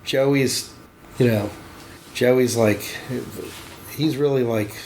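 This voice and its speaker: A man's voice: medium pitch, steady tone, drawn-out words, a little mellow, a little hiss or hum, rough recording. A man in his forties chatting casually at a tattoo shop, rambling and swearing, often restarting his sentences. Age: 40s. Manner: rambling, profane, many restarts. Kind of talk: casual chat.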